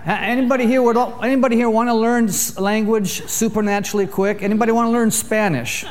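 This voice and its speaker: Male guest preacher, somewhat high voice, humorous storyteller working the congregation. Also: fast. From Canada. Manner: jokey, lively storyteller addressing a congregation. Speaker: visiting preacher.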